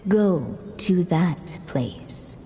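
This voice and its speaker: A woman playing a mysterious storyteller in a video game, reciting fairytale riddles in a measured, poetic way. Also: on the low side, expressive, dark tone, soft background music. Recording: long cavernous reverb.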